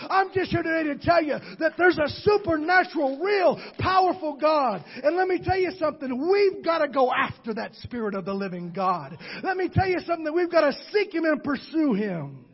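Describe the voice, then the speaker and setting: A man preaching a sermon, shouting with call-and-response to the congregation. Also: very high voice, muffled recording. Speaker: pastor. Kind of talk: Pentecostal sermon.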